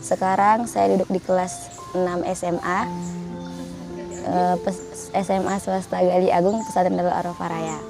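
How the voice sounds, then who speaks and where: A young woman in her late teens from North Sumatra, a Quran memorization graduate, who speaks slowly and hesitantly and often corrects herself. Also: fairly low pitch, level tone, creaky. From North Sumatra, Indonesia. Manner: hesitant, slow, self-correcting. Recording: background music under speech.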